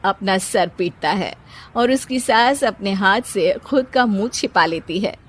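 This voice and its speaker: A woman's voice: medium pitch, animated, measured pace. A woman narrator delivering scripted storytelling for a comic cartoon.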